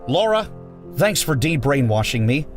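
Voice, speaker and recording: A man's voice: medium pitch, sing-song, a little slow, faint music behind. A man playing an earnest, patriotic young American aviator in a radio adventure serial, sincere and wholesome. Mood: grateful, sheepish.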